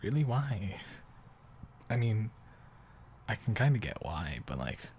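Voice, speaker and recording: A man playing an affectionate young boyfriend in an improvised bedtime roleplay, talking softly and haltingly, often sinking toward a whisper. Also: fairly low pitch, sing-song, a little hiss or hum. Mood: gentle, concerned. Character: affectionate, doting young boyfriend, soft and rambling. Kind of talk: improvised intimate roleplay audio, sleep aid.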